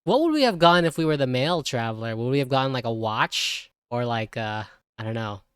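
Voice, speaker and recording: A man's voice: medium pitch, lively intonation, brisk, a bit bright, clear, resonant tone, no echo, studio quality. A young man giving casual, slangy live reaction commentary while streaming a video game.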